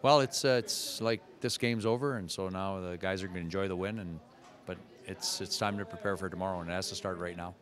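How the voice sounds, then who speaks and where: A middle-aged man, a team coach, talking quickly with filler pauses in a post-game interview, into handheld mics at an indoor media backdrop. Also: low-pitched, fairly even intonation, a bit bright. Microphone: handheld reporters' mics, close.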